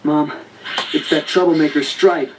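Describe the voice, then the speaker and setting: A man's voice: fairly high pitch, a little slow, noisy background, lightly acted. Earnest young man played by an actor, heard distantly. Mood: determined. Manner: expressive, scripted cartoon-like delivery. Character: kind, earnest young man with a new pet. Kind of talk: children's read-along record acting. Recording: distant 1980s record playback, music behind.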